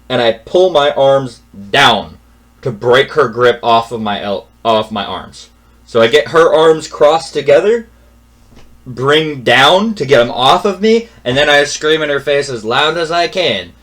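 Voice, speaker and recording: An exasperated young man recounts a story in a loose, rambling rant. He repeats words, mutters low at times and then climbs high and loud when he gets worked up. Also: expressive, unhurried. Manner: exasperated, repetitive, swings from mumbling to loud. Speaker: vlogger telling a personal story.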